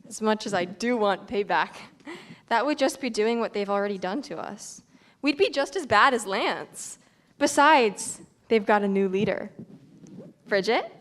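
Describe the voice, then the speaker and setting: A woman's voice: mid-range voice, animated, unhurried, fairly bright tone. A young woman playing a determined teenage student leader who speaks firmly. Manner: firm, decisive. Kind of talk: amateur staged-reading acting.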